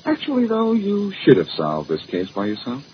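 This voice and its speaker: An actor playing a cocky, wisecracking amateur detective in 1940s radio style, glib, sarcastic and teasing. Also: male voice, medium pitch, huge pitch swings, normal speed. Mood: needling, triumphant. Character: cocky, wisecracking amateur detective man, needling a police inspector. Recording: muffled, hissy old-time radio recording.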